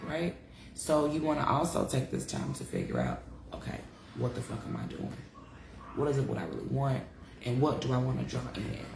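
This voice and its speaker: A woman's voice: rumbling low, gravelly, echoey room, noisy background. A congested woman giving a casual live-stream astrology talk, chatty and frank, cursing freely and calling her viewers y'all. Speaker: astrology teacher. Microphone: live-stream device mic.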